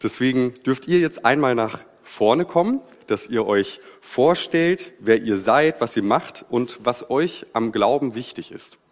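A man leading a church service as pastor, relaxed and joking. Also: mid-range voice, measured pace, few pauses. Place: church hall. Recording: reverberant church hall.

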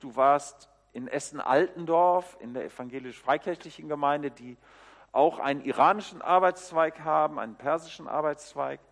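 Halting man speaking in a church hall. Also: on the high side. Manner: friendly and halting, with many fillers. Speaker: church elder introducing a new member. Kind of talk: informal address to a congregation.

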